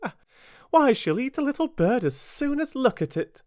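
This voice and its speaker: A woman's voice: lowish voice, very animated, measured pace, dark tone, no echo, studio quality, voice pushed up. A woman voicing a polite, eager, chatty little girl in an earnest, courteous storybook manner.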